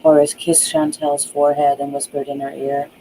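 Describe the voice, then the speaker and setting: Gravelly, mellow narrator reading horror. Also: mid-range voice, monotone, normal speed, a little hiss or hum, rough recording. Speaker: author reading own book aloud. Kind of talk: dramatic audiobook narration of a horror thriller.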